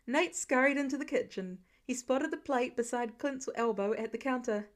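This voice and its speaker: Woman reading a fanfic story out loud, voice on the high side. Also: moderate pace. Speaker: podfic reader. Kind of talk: scripted story narration.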